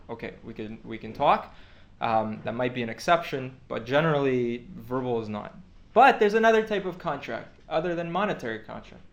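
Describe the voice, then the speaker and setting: A man teaching a class, explaining points at length with frequent restarts and fillers. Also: mid-range voice. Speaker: teacher of Torah law. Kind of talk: classroom lecture.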